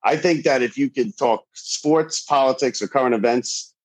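Male, forties, New York accent, emphatic sports-podcaster chat, mid-pitched, repeats phrases as he goes. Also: moderate pace. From New York, USA. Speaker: sports podcast host, interview guest. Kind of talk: casual interview chat.

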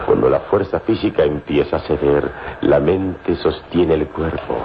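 A man playing a calm, wise hero, speaking in a measured, commanding way in an old radio serial. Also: a bass voice. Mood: solemn, encouraging. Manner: solemn, measured, commanding, philosophical. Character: calm, wise, unshakable adult male hero. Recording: dull, noisy old radio recording.

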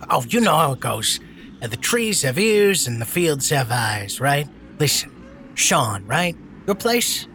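A brash personal trainer turned slick spokesman in an audio drama. His voice is fairly high and swings around a lot as he delivers glib, over-friendly patter. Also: very animated, slow, sharp tone, slight background noise, heavily acted. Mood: smarmy sympathy.

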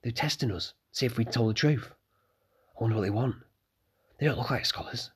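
A man's voice: medium pitch, lively intonation, fast, darkish tone, slightly rough, pitched above the performer's own voice. A man voicing a shrewd female demon companion who whispers knowingly into a boy's ear.

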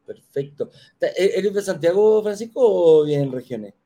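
A man's voice: high-pitched, animated, moderate pace, mellow. A man hosting an interview, asking friendly questions and often restarting his sentences. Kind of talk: casual interview.

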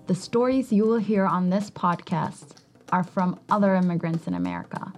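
A woman's voice: fairly low pitch, moderate pace, a little mellow. A woman from Ethiopia reading scripted narration as the host of a podcast. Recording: music under the voice. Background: background music.

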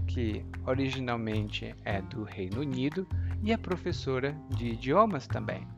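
A Brazilian man with a Brazilian Portuguese accent, hosting a language-learning podcast and speaking clearly and slowly like a teacher. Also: medium pitch, lo-fi sound. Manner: clear, slow teacher's speech with lively rises.

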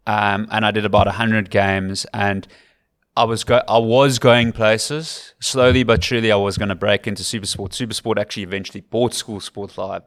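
A man's voice: lowish voice, level tone, moderate pace, a bit bright, dry recording. A man in his thirties with a Cape Town South African accent, telling his story earnestly as an interview guest, repeating words for emphasis. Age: 30s. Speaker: rugby commentator, podcast guest.

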